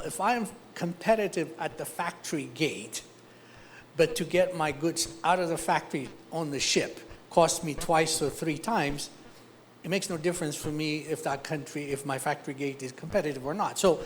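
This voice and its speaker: A man from Ethiopia answers a panel question, his voice sitting a little high. He talks like an investor, grounding each point in concrete examples. Faint background noise runs under the recording. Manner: practical, explains with concrete examples.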